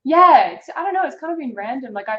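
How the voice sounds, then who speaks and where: A young woman from Australia with a deeper voice, chatting casually as a guest on a video call, soft-spoken with many fillers. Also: lively intonation, fast. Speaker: guest actress. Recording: roomy, dull lo-fi call audio.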